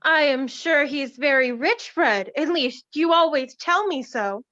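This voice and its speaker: An impatient young wife in a radio play played by a teenage girl. Her voice is high and bright, and the recording is slightly dull. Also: a little slow, no room sound. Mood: indignant.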